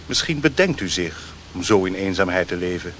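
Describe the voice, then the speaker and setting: An actor playing a formal, haughty Chinese dignitary in a radio play, with a declamatory manner. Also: male voice, deep voice, lively intonation, moderate pace, a bit bright. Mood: formal, probing. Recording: noisy old radio play recording.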